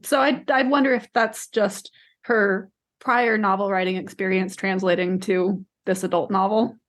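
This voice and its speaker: A woman co-hosting a book podcast, talking casually in a measured, explanatory way. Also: medium pitch, steady tone. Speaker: podcast co-host discussing books.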